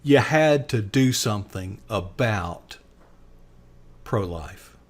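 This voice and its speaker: A man's voice: fairly low pitch, animated, slow. A man hosting a live online show, introducing and interviewing a guest with enthusiasm and many fillers. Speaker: host.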